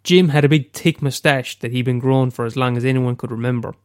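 A man's voice: mid-range voice, fairly even intonation, quick pace, a little mellow, clear, resonant tone, dry recording, studio quality. A young Irish man with a head cold, reading aloud a short story he wrote, after a hesitant casual introduction. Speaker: amateur storyteller.

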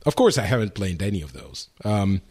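A man's voice: deep voice, very animated, brisk, darkish tone, breathy edge. A man co-hosting a gaming podcast in casual chat, rambling with many fillers and restarts. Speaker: podcast co-host.

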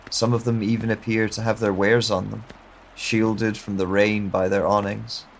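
A man narrating a first-person audio drama in a slow, hushed, introspective way, over a music score and the sound of rain. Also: on the low side, level tone, creaky, slight background noise, poor audio.

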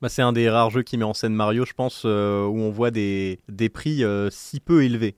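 A man's voice: fairly low pitch, normal speed, a little mellow, smooth, dry recording, studio quality. A man hosting a podcast casually, with a hesitant, stop-and-start delivery. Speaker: podcast host.

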